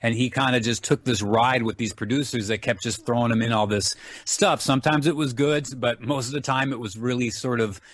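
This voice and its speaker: A man's voice: mid-range voice, fairly even intonation, brisk, few pauses. A man giving a casual interview as a guest, explaining things in a rambling, amiable way. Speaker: interview guest, filmmaker and author.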